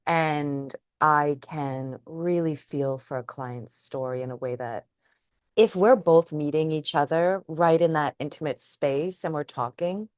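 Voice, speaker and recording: A woman's voice: sits low, measured pace, darkish tone, dry recording, clean studio sound. A woman who is a trauma psychotherapist, co-hosting a podcast and speaking in a thoughtful, earnest way. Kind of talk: casual chat.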